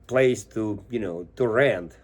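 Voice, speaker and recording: A man's voice: on the low side, slow pace. Middle-aged man with a Russian accent. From Moscow, Russia. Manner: informal, tells anecdotes with quoted dialogue. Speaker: interview guest, musician. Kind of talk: casual conversational storytelling.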